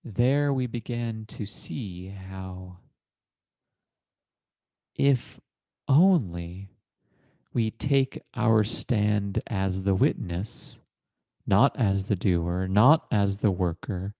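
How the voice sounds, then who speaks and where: A man leading a guided meditation in a measured, deliberate manner, with formal, literary phrasing and long pauses between short phrases. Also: fairly low pitch, mellow, no room sound. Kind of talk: guided meditation, slow contemplative narration.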